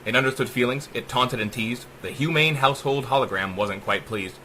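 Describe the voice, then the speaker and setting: A man narrating a dark rhyming story as a dramatic audiobook, with theatrical, emphatic rhythm. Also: medium pitch, brisk, a little hiss or hum, low-quality recording.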